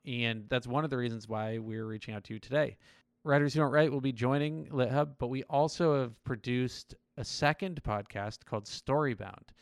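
A man hosts a podcast with a smooth, clear voice in a very dry recording. He talks informally and with upbeat enthusiasm, like someone giving listeners a casual announcement. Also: mid-range voice, fairly even intonation, normal speed, no echo. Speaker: podcast host.

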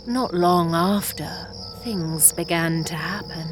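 Casting: female announcer for spooky audio drama, lowish voice, very deliberate pace, ominous, music underneath. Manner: measured, ominous storytelling. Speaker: announcer, narrator. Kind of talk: scripted atmospheric radio drama introduction.

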